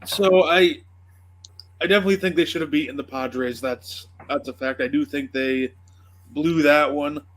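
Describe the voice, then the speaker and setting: A male sports show panelist making a measured case, backing his point with win-loss records. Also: on the high side, steady tone, poor audio. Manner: argues with stats, measured. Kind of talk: casual sports debate.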